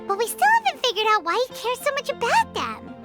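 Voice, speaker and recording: A woman voicing a tiny, childlike fairy companion in an anime video game, chirpy, squeaky and exclamatory. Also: huge pitch swings, quick pace, over loud music, exaggerated voice. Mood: earnest. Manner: speaks of herself in third person, exclamatory.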